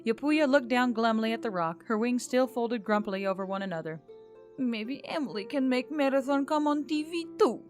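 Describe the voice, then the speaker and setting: A woman reading scripted narration of a fantasy story. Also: medium pitch. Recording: background music under the voice. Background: music.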